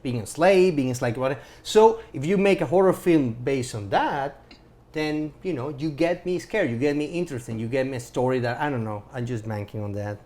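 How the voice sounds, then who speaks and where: A man's voice: medium pitch, brisk, a little mellow. A man co-hosting a casual discussion, speaking emphatically and opinionatedly and repeating his phrases as he rambles. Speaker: co-host of a film club show.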